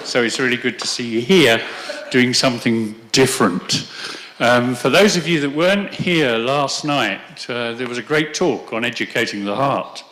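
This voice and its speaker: Bright-voiced man hosting a talk. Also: mid-range voice, moderate pace, hardly any pauses, rough recording. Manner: friendly, chatty, joking asides. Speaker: host introducing the speaker. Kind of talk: casual public introduction. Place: lecture room at a meditation centre.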